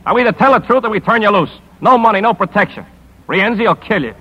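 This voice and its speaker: An actor playing a hard-nosed, commanding newspaper editor in a 1950s radio drama, blunt and clipped, on a dull old recording. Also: male voice, high voice, animated, fast talker, breathy edge. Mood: accusing.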